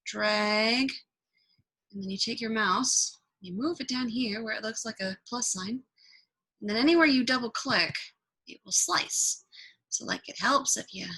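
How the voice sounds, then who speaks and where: A woman presenting a software tutorial in an informal, rambling way, with offhand asides. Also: medium pitch, low-quality recording. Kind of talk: casual screen-recording software tutorial.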